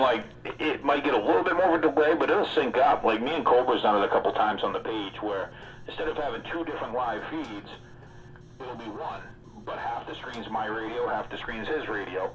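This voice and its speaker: A man's voice: medium pitch, poor audio. A man chatting casually as a regular on a radio hobby stream, talkative and joking in a folksy way. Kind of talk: casual chat.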